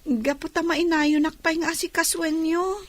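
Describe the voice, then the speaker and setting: A woman's voice: very high-pitched, fairly even intonation, moderate pace, no room sound. A woman playing a poor blind orphan girl, humble and grateful, in an audio drama. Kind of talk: Filipino audio drama acting. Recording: music under the voice.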